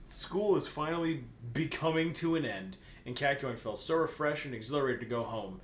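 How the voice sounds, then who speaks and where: A man's voice: medium pitch, expressive, normal speed. A man reading a story aloud in a comedic, casual way, breaking off for joking, mocking asides. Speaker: co-host reading fan fiction aloud. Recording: echoey room, faint background noise.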